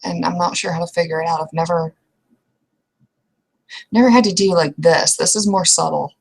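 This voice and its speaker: A woman with a slightly low voice talks her way through a roleplay session. Her delivery is casual and conversational, with halting phrases that often trail off. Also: fairly even intonation, vocal fry, slightly rough, poor audio. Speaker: tabletop roleplay player. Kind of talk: casual in-character roleplay talk.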